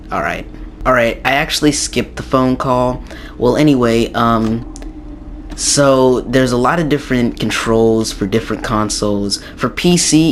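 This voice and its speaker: Hoarse young man with a sore throat. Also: mid-range voice, a little hiss or hum. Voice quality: slightly raspy. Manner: rambling, many ums and restarts. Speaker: gaming YouTuber. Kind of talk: casual game tutorial.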